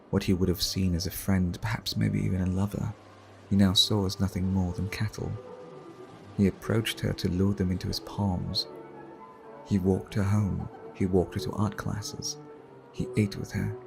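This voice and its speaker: A man's voice: fairly low pitch, vocal fry. A man playing a suave, brooding vampire artist in an intimate ASMR roleplay, speaking formally and theatrically as he tells stories to the listener. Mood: brooding, solemn. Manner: slow, formal, theatrical storytelling. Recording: music behind the voice. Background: background music.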